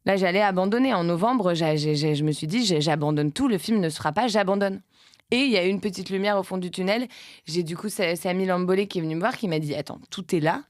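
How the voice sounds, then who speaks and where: A woman's voice: lowish voice, quick pace, no room sound. Woman, late 30s, actress-producer type, loose rambling interview talk, dry sound. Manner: rambling, many restarts and fillers.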